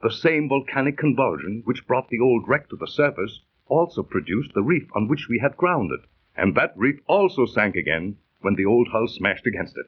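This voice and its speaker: An actor playing an excitable, haunted seafaring adventurer in a dramatic 1930s radio storytelling style. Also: male voice, medium pitch, normal speed. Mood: haunted, grave. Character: excitable landsman adventurer, haunted by a ghost ship. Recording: muffled, husky-sounding old 1930s radio recording.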